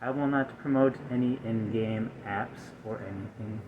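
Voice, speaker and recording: A man voicing a stiff, aggrieved, malfunctioning robot in a soft-spoken ASMR roleplay, with formal, halting, over-precise phrasing. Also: fairly low pitch, narrow, tinny audio, noisy background, lightly acted.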